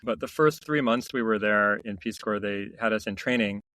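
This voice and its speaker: A middle-aged man, a company founder, telling stories in a relaxed interview, reflective and full of restarts. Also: lowish voice, normal speed, no echo, low-quality recording. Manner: reflective, many restarts and "you know" fillers. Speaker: founder and CEO, podcast guest. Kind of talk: casual interview storytelling.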